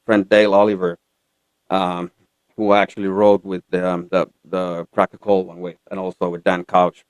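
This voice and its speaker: A man from Finland with a Finnish accent, chatting casually as an interview guest in a halting way. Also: deep voice, clean tone, no echo, rough recording. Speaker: guest country singer-songwriter. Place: radio studio.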